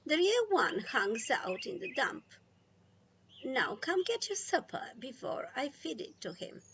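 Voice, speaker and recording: A woman voicing a brisk, wry, folksy older grandmother in a storybook. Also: high voice, very animated, fairly bright tone, muffled recording, slight background noise, pitched above the performer's own voice, full character voice. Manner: brisk, bossy, folksy commands. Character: brisk, wry country grandma, self-styled river rat.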